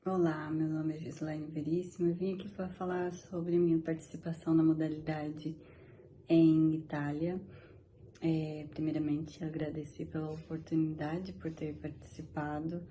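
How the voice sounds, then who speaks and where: A woman, giving a hesitant video testimonial with drawn-out fillers. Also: low voice, little pitch movement, noisy background. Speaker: program participant.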